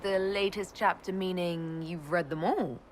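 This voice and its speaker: An actress voicing a young elf mage leader in a video game, dry and composed. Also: female voice, fairly low pitch, unhurried, a little hiss or hum, lightly acted. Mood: wry. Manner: dry, wry.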